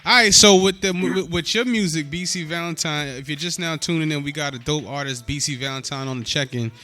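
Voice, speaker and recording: Upbeat male podcast host, bright voice. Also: on the high side. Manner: upbeat, quick affirmations like "word" and "yeah". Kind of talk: casual podcast interview.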